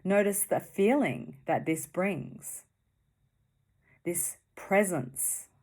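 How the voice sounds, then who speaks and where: Very slow, low-pitched woman guiding meditation. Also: animated. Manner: soothing, gentle, with long pauses between short phrases. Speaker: meditation guide. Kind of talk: guided meditation.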